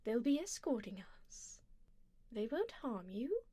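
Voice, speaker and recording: A woman playing an aristocratic, predatory yet tender vampire duchess in an ASMR roleplay, speaking softly and formally and slipping into whispers. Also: fairly high pitch, sing-song, measured pace, no echo. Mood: doting, commanding. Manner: refined, formal, gently commanding.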